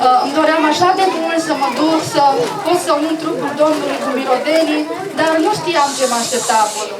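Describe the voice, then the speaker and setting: A woman's voice: high voice, natural voice. A woman playing an earnest, emotive female follower of Jesus in a puppet show interview, speaking a little hesitantly. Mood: sad, shaken. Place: echoey live puppet show with audience. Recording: echoey, distant, noisy, crowd behind.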